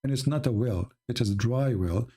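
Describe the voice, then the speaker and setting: A man's voice: medium pitch, moderate pace, warm tone, husky. A man hosting a livestream who argues with a caller in a mocking, sarcastic and impatient way. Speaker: livestream host. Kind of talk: argumentative livestream talk.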